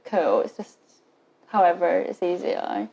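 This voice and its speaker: A woman's voice: lowish voice, big pitch swings, measured pace, gravelly, warm tone, lo-fi sound. A young woman in the role of a patient, answering questions briefly and informally, often saying "like". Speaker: student acting as patient being questioned.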